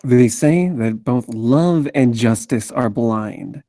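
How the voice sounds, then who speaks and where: A man playing a brooding, guilt-ridden noir narrator in a script read, slow and grave. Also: mid-range voice, mellow, no room sound, studio quality.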